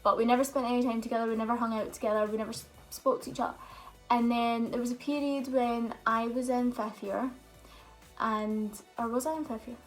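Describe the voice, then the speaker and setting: Young woman vlogging, kinda highish voice, just chatting and telling stories. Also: fairly even intonation, fairly quick, faint music behind, low-quality recording. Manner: chatty, open storytelling with fillers. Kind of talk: casual Q&A vlog chat.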